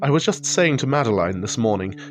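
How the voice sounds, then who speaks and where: An actor playing an ailing, anxious gentleman in a period radio drama, speaking formally and with melancholy. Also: medium pitch, expressive, brisk, natural voice. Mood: relieved, eager. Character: ailing, anxious gentleman, gracious but morbidly gloomy.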